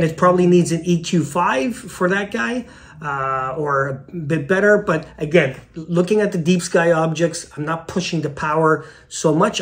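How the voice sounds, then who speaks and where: A middle-aged man hosts from an indoor room, talking straight to a desk camera. His delivery is loose and chatty, full of fillers and restarts as he walks viewers through gear choices. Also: high voice. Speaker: astronomy channel host. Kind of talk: casual gear advice to camera.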